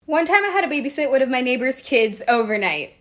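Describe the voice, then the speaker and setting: A woman's voice: high-pitched, big pitch swings, fast talker. A young woman telling a casual, colloquial story and quoting the dialogue as she goes. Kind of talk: casual social-media storytime.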